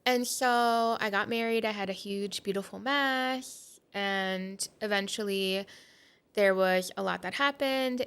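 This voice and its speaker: A woman's voice: mid-range voice, fairly bright tone, clean tone. Candid woman sharing her faith story. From Portugal. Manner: candid and reflective, with frequent "um" and "like". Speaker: podcast guest. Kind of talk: personal testimony in conversation.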